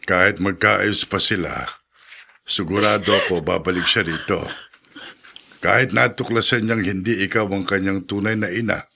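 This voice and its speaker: An elderly father in a Filipino radio serial. His voice is very low and creaky with a forceful edge, opinionated yet reassuring. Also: slight background noise, heavily acted. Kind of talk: Filipino radio drama acting.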